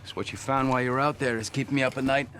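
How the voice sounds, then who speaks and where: An actor playing a cynical, paranoid government operative in a game cutscene, wry and sardonic. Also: male voice, mid-range voice, fairly even intonation, racing, fairly bright tone, a little hiss or hum, natural voice. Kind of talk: dramatic game cutscene dialogue.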